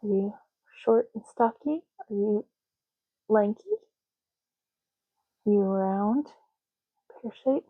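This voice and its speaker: A soft-spoken woman doing an ASMR roleplay as a clerk, explaining gently and unhurriedly in short, broken phrases. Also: medium pitch, takes it slowly, pauses often, breathy edge, no room sound, narrow, tinny audio. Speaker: ASMR creator playing an ID card clerk.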